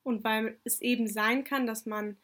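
Young woman, turkish is her first language, calm and orderly, kinda high voice. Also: measured pace. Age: young adult. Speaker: exchange student interviewee. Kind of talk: interview answers about studying abroad.

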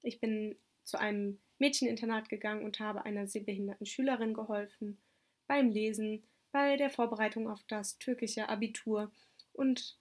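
A young woman whose first language is Turkish, calmly giving orderly interview answers about her semesters abroad. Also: on the high side. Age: young adult. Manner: calm, orderly, explanatory. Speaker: exchange student interviewee.

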